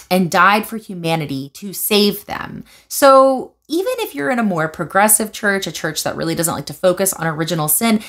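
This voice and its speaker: Young woman on her couch talking to camera, kinda low voice, animated, lots of ums and restarts. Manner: animated, gestures with hands while explaining; thoughtful with frequent fillers and restarts. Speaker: video essayist. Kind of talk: casual commentary vlog. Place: living room, seated on a couch indoors.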